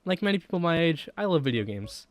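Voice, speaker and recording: A man's voice: on the high side, big pitch swings, fast. Young man narrating, music behind. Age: young adult. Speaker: student video essayist. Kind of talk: scripted video essay narration.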